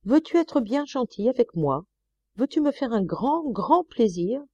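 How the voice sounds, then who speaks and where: A woman puts on a peevish, theatrical husband who whines and sneers, his voice pushed higher and louder than the narration. The sound is dull and close, with no room around it. Dark, mellow tone throughout. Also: very animated, unhurried, no room sound. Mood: pleading. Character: peevish snobbish middle-aged husband. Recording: slightly muffled dry recording.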